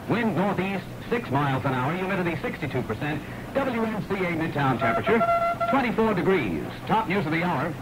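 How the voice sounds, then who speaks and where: An American man reading scripted news as a 1960s radio newscaster. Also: fairly high pitch. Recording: scratchy, distorted 1960s radio recording.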